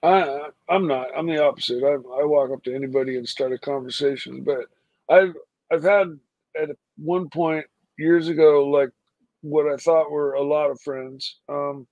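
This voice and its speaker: Man, somewhat high pitch, dry sound, halting speech, many fillers. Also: steady tone, a little mellow, no echo, dull audio. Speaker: livestream co-host. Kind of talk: casual chat. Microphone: video call.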